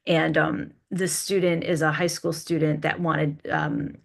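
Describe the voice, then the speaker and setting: A woman talking casually as a podcast creator in a panel discussion, animated and given to telling small anecdotes. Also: low voice, measured pace, vocal fry. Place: online video call.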